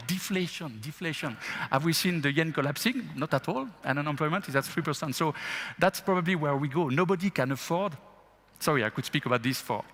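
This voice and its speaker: A man's voice: on the high side, a bit bright. A man speaking as a bank investment chief on stage at a fireside chat, answering with rhetorical questions and frequent laughs. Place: event stage in a large hall. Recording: long hall reverb.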